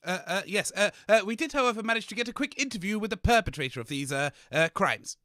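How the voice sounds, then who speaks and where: Casting: British male comedy news host acted by a man, fussy and flustered, sharp high tone, animated swings. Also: quick pace, dry recording, clean studio sound. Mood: mock newsreader. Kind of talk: mock news reading and comic radio acting.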